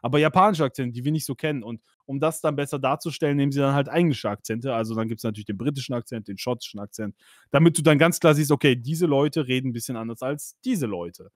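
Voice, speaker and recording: A man's voice: medium pitch, fast, dry recording, studio quality. A man hosting a casual podcast about games, chatty and full of fillers, laughing now and then. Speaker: podcast host. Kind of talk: casual gaming podcast chat.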